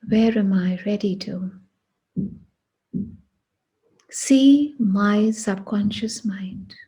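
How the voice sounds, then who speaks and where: Woman doing guided meditation, super slow w long pauses, really breathy husky hushed voice. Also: medium pitch, low-quality recording. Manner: hushed, deliberate, with long pauses between short phrases.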